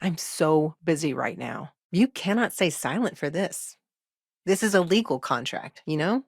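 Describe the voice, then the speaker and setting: Frank, low-pitched female colony lawyer. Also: animated, gravelly, a little mellow, breathy edge, no room sound, heavily acted. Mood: brisk, firm. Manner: businesslike, frank, measured, with dry asides. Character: busy, pragmatic, principled female lawyer on a mining colony. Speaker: video game character, lawyer. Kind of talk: conversational video game dialogue acting.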